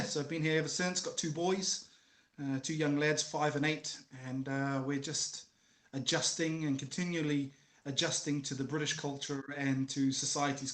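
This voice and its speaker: A man in his thirties from New Zealand with a New Zealand accent, telling his story as a guest on a video call in a relaxed, joking way. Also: fairly high pitch. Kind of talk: casual interview. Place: small room at home, video call. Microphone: headset earphones, webcam. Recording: roomy video call audio.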